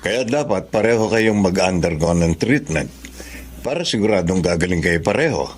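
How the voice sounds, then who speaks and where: A man's voice: sits low, lively intonation. A man with a Filipino accent playing a matter-of-fact, professional doctor in a radio drama. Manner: measured, explanatory. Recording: faint background noise.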